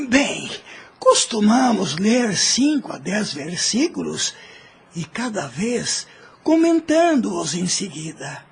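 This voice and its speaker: Radio-play elder played by a man: Brazilian, kindly and devout, very husky, unhurried, raised pitch. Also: very animated, exaggerated voice. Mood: thoughtful. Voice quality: gravelly, husky. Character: kindly, devout old man, head of household. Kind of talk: audio drama acting.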